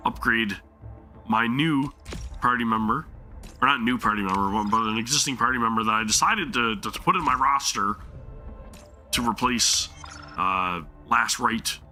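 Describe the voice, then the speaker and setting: Male streamer, losing his voice from a sore throat, rambling and apologetic, very sharp tense tone, faint game music behind. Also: medium pitch, moderate pace, long pauses. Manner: apologetic, rambling, many restarts. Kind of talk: casual live game stream commentary.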